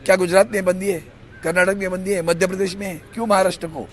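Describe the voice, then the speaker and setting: A man's voice: high-pitched, level tone, quick pace, a little hiss or hum. A man giving unscripted political remarks to reporters.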